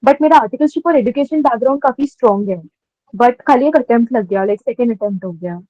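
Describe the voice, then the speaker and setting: A woman's voice: a little high, quick pace, dry recording. A young woman from Mumbai with an Indian accent, asking questions anxiously and insistently in mixed Hindi and English on a call. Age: young adult. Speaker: webinar caller, accountancy candidate. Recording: dull, slightly muffled online call.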